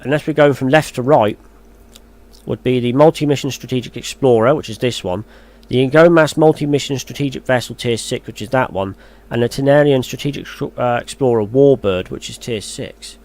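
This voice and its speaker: A man's voice: medium pitch, a little fast, darkish tone. Chatty man reading game news. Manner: muses aloud, hesitant and chatty when commenting. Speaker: gaming YouTuber. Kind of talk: reading game news aloud, then casual commentary. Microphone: voice-over on a screen recording.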